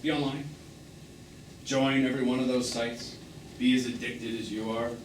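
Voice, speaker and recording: A man's voice: medium pitch, fairly even intonation, moderate pace, natural voice. A man acting a melancholy, tender, wounded romantic in stage drama dialogue, lyrical and pointed in delivery. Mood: hurt, longing. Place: theatre stage, recorded from the audience distance. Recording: echoey, distant, noisy live theatre recording.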